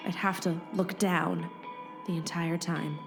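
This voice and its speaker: A woman's voice: lowish voice, steady tone, slow, vocal fry. A woman playing an earnest, determined heroine, narrating her rescue dramatically in a comedic audio drama. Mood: suspenseful. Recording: loud music bed underneath.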